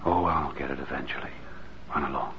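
An actor playing a grave, protective doctor with a measured manner, in 1940s radio drama style. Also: male voice, rumbling low, background noise. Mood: weary, concerned. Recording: dull 1940s radio recording, loud music behind.

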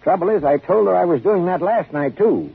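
A raspy, henpecked man who sounds reluctant and hesitant. His plaintive delivery swings up and down in 1950s radio-play style, on a narrow, muffled vintage recording. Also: high voice, expressive, a little fast, exaggerated voice. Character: reluctant henpecked adult friend, anxious to get home. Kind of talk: 1950s radio drama acting.

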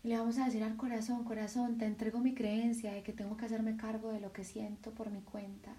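A woman leading a guided meditation in a soft, soothing voice, with slow sighing breaths between phrases. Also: on the high side, little pitch movement, recorded from a distance.

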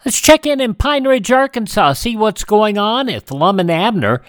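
A man's voice: sits high, expressive, normal speed, dry recording. Male American host, scripted introductions to vintage programs, dry recording with no room sound. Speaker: radio host. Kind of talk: scripted presenting of classic radio shows.

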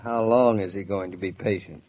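An actor playing a teasing, stubborn but affectionate young American husband with wry, casual banter, in old-time radio drama style. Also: male voice, low voice, fairly even intonation, normal speed, a little hiss or hum, natural voice. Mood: earnest. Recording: muffled vintage radio transcription.

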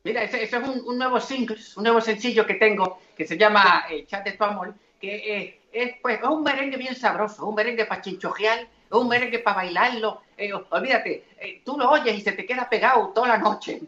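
High-voiced man, halting, echoey sound. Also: bright, forceful tone. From raised in the Dominican Republic. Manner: hesitant, many fillers and restarts. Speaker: singer and comedian guest. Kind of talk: casual interview chat. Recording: echoey and dull.

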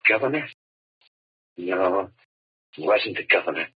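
Shaken condemned prisoner, very husky. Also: male voice, sits low, animated, exaggerated voice. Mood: dazed, awed. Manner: halting, breathless, overwrought. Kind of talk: 1930s radio drama acting. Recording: muffled, husky vintage radio recording.

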